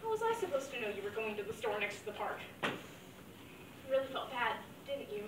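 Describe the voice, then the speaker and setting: A woman's voice: fairly high pitch, sing-song, fairly quick, echoey room, recorded from a distance, background noise. An actress playing a grieving young mother who talks to her dead father in a heartfelt dramatic monologue. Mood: reminiscing. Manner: heartfelt, wavering between fond memories and anguish.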